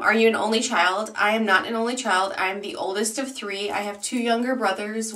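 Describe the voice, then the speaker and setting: Bright-voiced chatty young woman vlogging. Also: medium pitch, distant mic. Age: 20s. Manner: chatty, rambling with many ums and likes. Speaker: YouTube beauty vlogger. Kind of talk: casual vlog chat.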